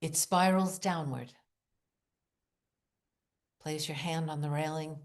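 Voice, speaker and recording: A woman leading a guided visualization, speaking in deliberate short phrases with long pauses, in a hushed, soothing, incantatory way. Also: low-pitched, steady tone. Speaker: guided meditation leader. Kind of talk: guided visualization, meditative narration.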